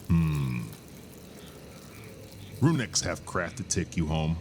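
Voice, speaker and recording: A man playing a cryptic alien native in an animated sci-fi drama, speaking in laconic, measured phrases. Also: low voice, background noise, exaggerated voice. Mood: guarded.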